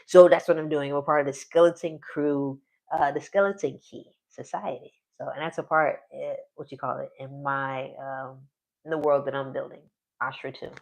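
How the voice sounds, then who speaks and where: A man's voice: a little high, gravelly, poor audio. A man in his forties casually narrating his solo tabletop game on a live stream, rambling, trailing off mid-sentence and laughing often. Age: 40s.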